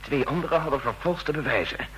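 A man's voice: on the high side, fairly quick, a bit bright, slight background noise, natural voice, rough recording. An actor playing an earnest, worried male official seeking help in a radio drama. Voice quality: earnest, anxious. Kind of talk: vintage radio drama acting.

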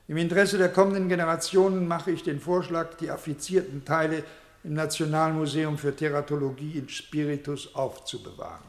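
A man's voice: fairly high pitch, a little fast, natural voice. An actor playing a pompous male doctor who solemnly declaims an absurd clinical report in a satirical radio play. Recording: echoey hall with long reverb.

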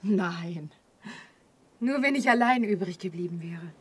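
A woman's voice: lowish voice, lively intonation, a little slow, exaggerated voice. An actress playing a wistful, vulnerable young woman in a German radio play, hesitant and pensive in her delivery. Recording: clearly audible background ambience. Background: ambience noise.

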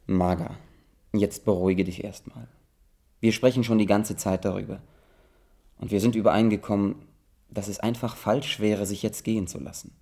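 An actor in a radio drama playing an earnest, rationalizing friend, a husky-voiced man who soothes yet insists. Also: lowish voice, fairly even intonation, moderate pace, dark tone. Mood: insistent, reasoning. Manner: insistent, persuading.

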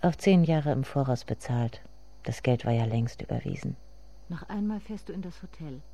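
A grieving grown daughter in a literary radio play. Her voice is dark and mellow, and she speaks to herself in a measured, intimate, brooding way, with bitterness underneath. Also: sits low, lively intonation. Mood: hushed, brooding.

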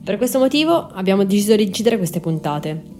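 Female podcast narrator, twenties, gentle mellow timbre, scripted read over quiet music. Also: mid-range voice, big pitch swings, fairly quick. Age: 20s. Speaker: podcast host and writer.

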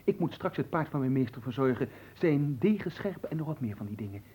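Husky, lively, cheeky gluttonous manservant. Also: male voice, medium pitch, fast. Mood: flirtatious, brazen. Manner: glib, playful, talks while eating and humming. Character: cheeky, gluttonous manservant and adventurer, flirtatious rogue. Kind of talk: theatrical radio play acting.

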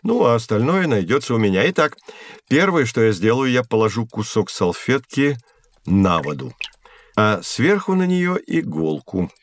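A man playing a patient, genial science professor who explains in a measured, didactic way in a scripted educational audio drama. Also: mid-range voice, very animated, close to an everyday voice. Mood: patient, demonstrating.

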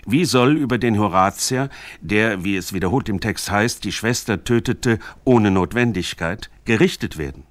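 A man with a low voice narrates a literary radio essay. His delivery is measured and formal, and the sound is close and dry, with no room around it. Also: no room sound.

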